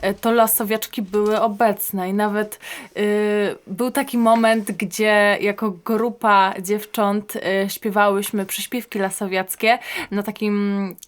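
Casting: young woman, slow measured delivery, personal reminiscence. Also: mid-range voice, steady tone, smooth. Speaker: folk singer recounting her experience.

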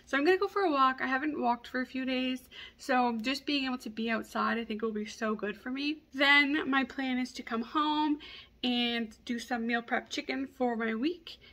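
A young woman talking casually to the camera as a lifestyle vlogger, relaxed and chatty, leaning on "like" as a filler. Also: high-pitched, normal speed. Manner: relaxed, chatty, heavy use of "like". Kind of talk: casual vlog narration. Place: outdoors in strong wind, then at home.

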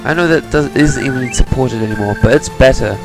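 A man's voice: mid-range voice, brisk, poor audio. A man giving casual, sarcastic commentary while playing a video game, muttering to himself. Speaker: let's player. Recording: game music underneath. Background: game music.

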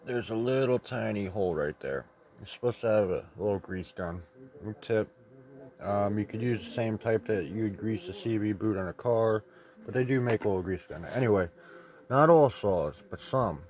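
A man's voice: lowish voice, moderate pace, a little mellow. A man giving a casual hands-on repair tip in a rambling, plain-spoken, mildly profane way. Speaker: chainsaw repair tip presenter.

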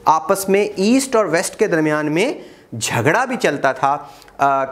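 A man gives an exam-prep history lecture. He gestures a lot, repeats key dates and often pauses with "uh". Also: high voice. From Pakistan. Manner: animated, gestures widely, repeats key dates, frequent "uh" fillers. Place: small room in front of a whiteboard. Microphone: lapel mic.

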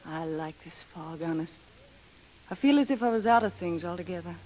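A woman's voice: lowish voice, brisk, a little mellow, natural voice. An actress playing a tough, world-weary young woman with blunt slang in a 1930s radio drama. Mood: dreamy, wistful. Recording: noisy old 1930s radio recording.

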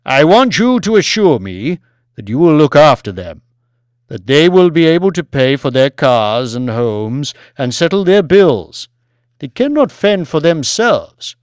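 Man voicing an imperious, emphatic English lord, high-pitched. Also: very animated, clean tone, no room sound, clean studio sound. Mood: insistent, urgent. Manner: grandiose, emphatic, drawn-out delivery. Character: imperious, evasive older English lord and tycoon. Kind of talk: dramatised audiobook character.

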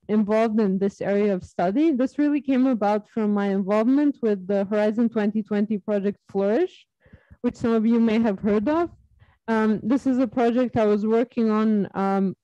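A woman in her thirties from Serbia, giving an academic webinar talk on her research, measured and full of ums and uhs. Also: mid-range voice, mellow, no echo. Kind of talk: academic presentation. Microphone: video call. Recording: dull lo-fi video call audio.

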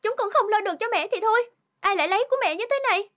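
A woman voicing a sorrowful, devoted grown daughter in an animated morality tale, earnest and plaintive. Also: very high-pitched, rapid-fire. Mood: upset. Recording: music behind the voice.

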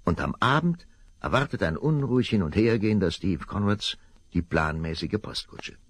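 Male narrator, very deep, lively, faint vinyl hiss. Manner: measured, suspenseful. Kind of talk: scripted radio play narration. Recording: vinyl rip, faint noise.

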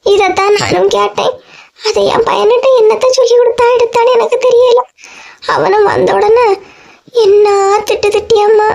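A woman's voice: extremely high pitch, steady tone, normal speed, vocal fry, darkish tone. A woman voicing an aggrieved, gossiping mother-in-law in a comic cartoon, complaining dramatically with a Kanyakumari Tamil accent.

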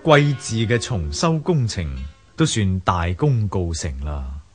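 Radio drama gentleman: Hong Kong Cantonese, inquisitive, polite, lively melody, faint music underneath. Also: fairly low pitch, slow pace. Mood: polite, curious. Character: polite gentleman visiting a temple.

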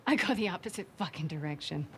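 A woman's voice: on the low side, animated, quick pace, a little hiss or hum. An actress playing a tough young woman fighter who talks in a blunt, clipped way in a video game cutscene. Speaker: game character. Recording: game audio with music score.

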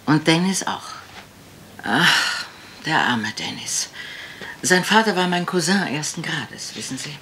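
A frail, well-bred older lady in a radio mystery. Her voice sits very low for a woman, and she talks in a hesitant, wistful, rambling way over a faint rumble. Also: expressive, unhurried, sharp tone, heavily acted. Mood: gentle, rambling. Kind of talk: German radio crime drama acting.

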